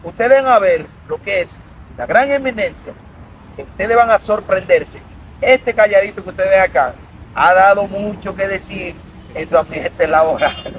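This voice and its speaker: Guy hosting an interview, high voice, lively intros, street traffic behind. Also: moderate pace, rough recording. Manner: animated, promotional introductions. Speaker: interview host. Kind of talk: conversational interview. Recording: outdoor traffic noise.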